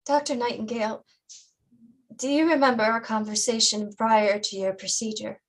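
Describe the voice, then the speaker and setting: A woman's voice: fairly high pitch, steady tone, normal speed, rough recording. A woman acting a careful, caring mother in a staged play reading. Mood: concerned.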